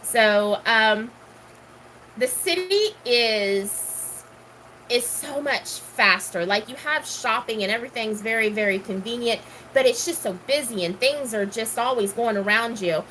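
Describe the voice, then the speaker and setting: A woman's voice: a little high, measured pace, fairly bright tone, slight background noise, poor audio. A middle-aged woman from Texas, casually chatting and answering questions, rambling with many ums. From Houston, Texas. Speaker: gardening vlogger.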